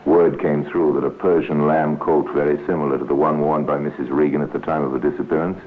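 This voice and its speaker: A man narrating a 1950s radio crime drama in a grave, measured, deliberate manner. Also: a bass voice, level tone. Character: solemn storyteller narrating a police case. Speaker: narrator and host. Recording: tinny, noisy 1950s radio recording.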